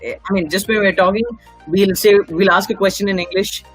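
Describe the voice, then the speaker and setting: Fast-talking Indian man, high husky voice. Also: steady tone, fairly bright tone, soft background music. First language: Tamil. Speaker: guest film enthusiast. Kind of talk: casual explanatory chat.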